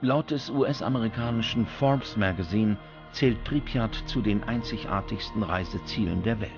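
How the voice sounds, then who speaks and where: Guy narrating a documentary from a script, lowish voice, loud music behind him. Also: normal speed, dull audio. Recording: music bed under the voice. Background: prominent background music.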